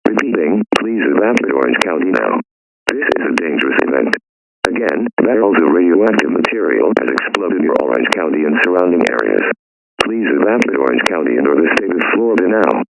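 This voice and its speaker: Synthetic male warning announcer, formal and mechanical, low gravelly tone, clear static and hum behind. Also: sing-song, fairly quick, long pauses. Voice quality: synthetic text-to-speech voice. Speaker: emergency alert announcer. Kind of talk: scripted emergency warning announcement. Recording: noisy radio-style hum and static.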